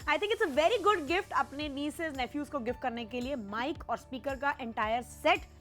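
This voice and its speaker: Woman, young adult, high voice, teleshopping presenter, chatty sales talk, soft music behind. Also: a little slow. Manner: chatty, mixes English phrases into Hindi. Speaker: home shopping presenter.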